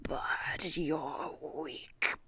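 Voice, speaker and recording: A woman voicing a withered ancient male tycoon in a slow, breathy, contemptuous whisper. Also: sits low, steady tone, bright, forceful tone, dry recording, pitched below the performer's own voice, heavily acted. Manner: contemptuous, sneering.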